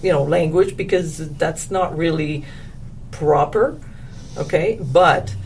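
A woman with a Quebec French accent, a French teacher speaking as a guest in a casual interview, animated and quick to repeat her words. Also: low voice, recorded from a distance, a little hiss or hum.

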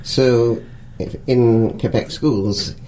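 A woman hosting a radio-style talk show, asking a guest questions in a measured, encouraging way. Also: extremely low pitch, steady tone, dark tone, slight background noise, rough recording. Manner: measured, encouraging, frequent short acknowledgements. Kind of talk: interviewing a guest.

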